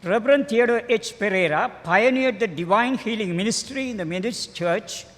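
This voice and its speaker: An older man with a Sri Lankan accent reading a formal tribute as a clergyman, measured and a little halting. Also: high voice. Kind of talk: formal read-aloud biographical tribute. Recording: long hall reverb.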